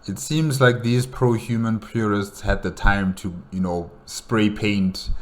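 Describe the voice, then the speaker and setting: A man's voice: on the low side, steady tone, a little slow. A man giving casual reaction commentary in a musing, hesitant way, often putting questions to himself. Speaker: host reacting to a game movie.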